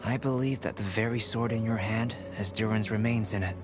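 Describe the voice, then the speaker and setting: A man's voice: lowish voice, fairly even intonation, close to an everyday voice. Man voicing a composed young alchemist. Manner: measured, polite, lecturing explanations. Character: calm young male alchemist, scholarly and composed. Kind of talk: video game voice acting. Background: game music.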